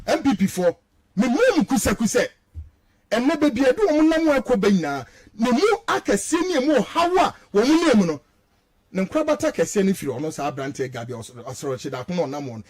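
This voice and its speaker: A man with a Ghanaian accent giving heated, indignant political commentary on radio, mixing languages and repeating words for emphasis. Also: very high voice. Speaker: radio show guest commentator.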